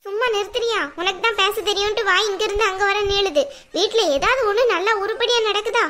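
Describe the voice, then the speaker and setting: A woman voices a stern grandmother who rules the house. She scolds in a sharp-tongued way. Her voice is high for an old woman, with a bright edge. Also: fast. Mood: scolding.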